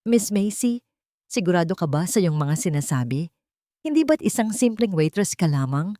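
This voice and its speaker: A woman's voice: lowish voice, very animated, normal speed, mellow, no room sound, studio quality. A woman with a Filipino accent voicing a menacing older male lawyer, cold and condescending, in an audio drama story. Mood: cold, mocking.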